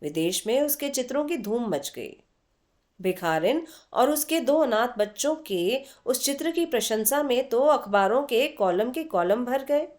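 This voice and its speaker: A woman narrates a literary story in a fairly high voice. Her delivery is very slow, with dramatic pauses, and her pitch moves expressively through each phrase. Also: expressive, darkish tone. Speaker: story reader.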